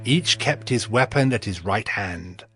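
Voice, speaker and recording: An actor playing a resolute, commanding ancient governor, solemnly narrating in a dramatized Bible recording. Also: male voice, lowish voice, lively intonation, a little slow, sharp tone, breathy edge, no echo, studio quality, faint music behind, heavily acted.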